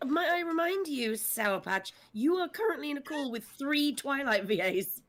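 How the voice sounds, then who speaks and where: A woman's voice: high-pitched, expressive, normal speed. A woman, a voice actor, chatting casually and teasing fellow panelists in an online group call.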